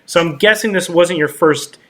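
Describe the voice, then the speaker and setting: A man's voice: high voice, normal speed. A man hosting a podcast, chatting casually with his guest and agreeing with him often. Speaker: podcast host. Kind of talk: casual interview talk.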